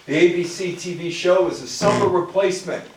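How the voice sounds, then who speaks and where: A man's voice: high-pitched, brisk, darkish tone, slight background noise. An older American man giving an informal public talk on history, addressing listeners directly with short asides. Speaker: lecturer. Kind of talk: public talk on music history, reading quotes and commenting. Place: echoey room with an audience. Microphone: distant microphone. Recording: very echoey room, distant microphone.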